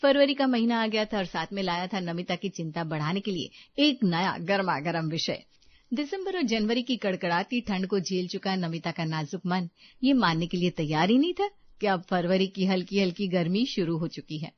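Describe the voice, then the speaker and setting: A woman's voice: fairly low pitch, lively intonation, normal speed, dry recording, highs cut off. A woman narrating a scripted Hindi family story as a storyteller.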